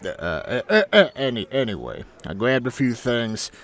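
A man's voice: mid-range voice, big pitch swings, moderate pace, fairly bright tone. An actor playing a scruffy, foul-mouthed space adventurer, rambling and sardonic as he narrates a sci-fi audio drama. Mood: wistful, rambling.

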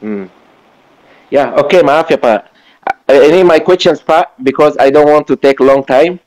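A man who is not a native Indonesian speaker, speaking haltingly in a religious debate and mixing broken Indonesian with English. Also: a little high, darkish tone, low-quality recording. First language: not Indonesian, still learning it. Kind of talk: informal religious debate on video call. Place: online video call.

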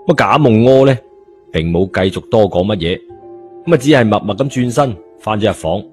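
A Cantonese man narrates an audiobook in a fairly low voice. His delivery is colloquial storytelling. Background music runs beneath him. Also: expressive, brisk, darkish tone.

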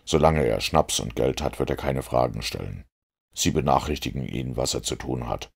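A shrewd, methodical storybook detective acted out by a man reader speaks in an extremely low voice. It crackles with gravel as he lays out his reasoning deliberately, fully sure of himself. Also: heavily acted. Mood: self-assured. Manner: deliberate, explanatory.

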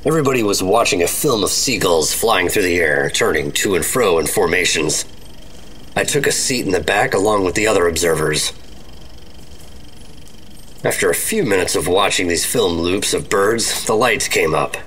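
An American man playing a dry, unflappable 1950s secret agent, narrating and talking in a measured noir radio drama. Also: deep voice, lively intonation, fairly bright tone, a little hiss or hum. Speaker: narrator and lead actor. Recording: music under the voice.

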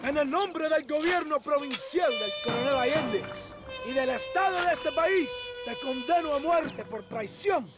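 High-voiced Mexican army captain. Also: male voice, animated, moderate pace, over loud music. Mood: stern, formal. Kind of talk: video game voice acting.

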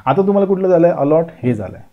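A man's voice: on the high side, sing-song, racing, highs cut off. A man in his thirties explains admission steps at a whiteboard in a classroom lecture. He talks emphatically and reassuringly, keeps checking in with "okay," and switches between Marathi and English. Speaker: admissions counsellor teaching at a whiteboard. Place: indoor classroom in front of a whiteboard. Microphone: lapel mic.

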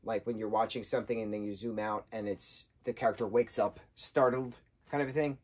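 A man in his thirties, husky-voiced, giving an animated casual book review from a sofa indoors. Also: lowish voice, brisk. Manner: animated, gestures a lot, acts out quotes in changing voices. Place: indoor room, sofa with patterned throw.

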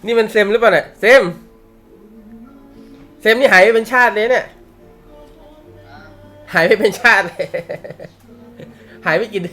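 A man's voice: high-pitched, slow pace, pauses often, sharp tone, faint music behind. A young man teaching a manga class in a casual, joking way, laughing often and using slang. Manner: chatty, laughs often, uses slang and swears. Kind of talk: casual live class talk.

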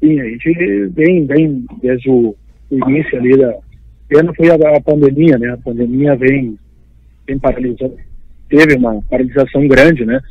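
Halting man on a dull line. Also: mid-range voice. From Uruguay. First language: Spanish. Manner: halting, repeats himself. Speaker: football agent, interview guest. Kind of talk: live interview. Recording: dull, dry remote call audio.

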